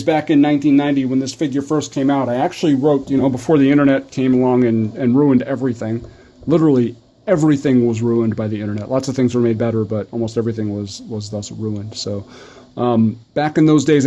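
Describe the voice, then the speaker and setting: Mellow-voiced man, casual reviewer. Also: medium pitch. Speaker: toy review host. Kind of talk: casual video review commentary.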